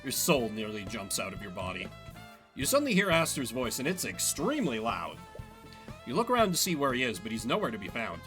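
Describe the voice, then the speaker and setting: A man's voice: medium pitch, huge pitch swings, brisk, a bit bright, faint music behind. A man reading scripted narration aloud from a game as a streamer. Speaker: streamer, solo voice performer.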